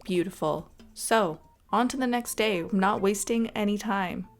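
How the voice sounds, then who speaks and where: A woman's voice: mid-range voice, unhurried, soft background music. A woman giving casual, chatty gameplay commentary in an informal way. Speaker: gaming video host.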